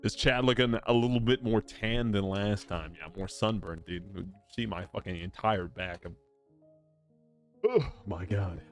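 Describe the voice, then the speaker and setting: A man's voice: fairly low pitch, a little slow. A man streaming a video game playthrough with casual, slangy, teasing commentary. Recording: game music behind voice. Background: game music.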